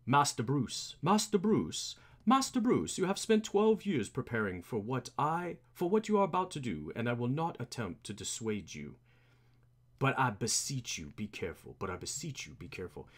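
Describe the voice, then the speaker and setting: A man's voice: medium pitch, big pitch swings, moderate pace, voice pushed down. A man voicing a formal, courteous elderly British butler from a comic book, dry and devoted, with a hesitant delivery. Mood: formal, concerned.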